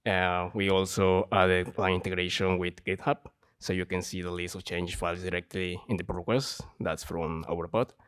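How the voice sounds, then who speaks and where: A man from Ecuador with an Ecuadorian Spanish accent, a software developer giving a short conference talk. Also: low voice, level tone, moderate pace, clear, resonant tone. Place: conference stage.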